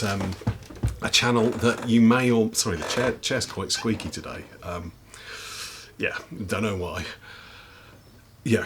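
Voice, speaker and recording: A man from the UK, a YouTuber, talking casually to camera in a slightly husky voice after losing it, rambling and self-deprecating with lots of ums. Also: fairly low pitch, a bit bright, slight background noise. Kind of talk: casual recommendation chat to camera. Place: indoors at home desk with big lights.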